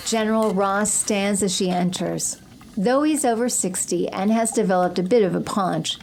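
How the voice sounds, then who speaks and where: A woman's voice: medium pitch, darkish tone. A woman narrating a scripted audio drama as its storyteller. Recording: noisy ambience behind.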